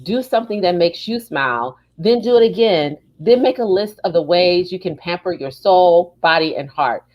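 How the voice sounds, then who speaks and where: A woman hosting a casual live podcast, chatting and reading aloud in an expressive, emphatic way. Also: fairly low pitch, normal speed, muffled recording. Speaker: podcast host.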